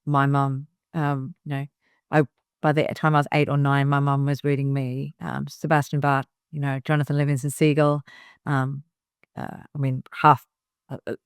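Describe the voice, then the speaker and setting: A woman's voice: rumbling low, no room sound, studio quality. A middle-aged woman from Hong Kong, a business founder giving direct, emphatic advice in a casual interview, with frequent fillers and restarts.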